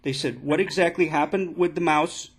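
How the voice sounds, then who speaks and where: High-pitched aggrieved dad , acted by a man. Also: husky. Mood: solemn, insistent. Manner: halting, repetitive, insistent, addressing listener as ma'am. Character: aggrieved, indignant father lodging an absurd complaint. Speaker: prank caller. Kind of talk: prank phone call, mock complaint.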